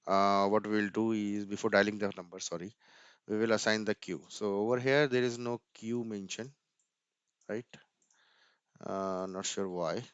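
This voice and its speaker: A man's voice: lowish voice, clean tone, no room sound, muffled recording. A man giving an informal screen-share tech walkthrough, thinking aloud hesitantly and often repeating words. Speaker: tutorial presenter.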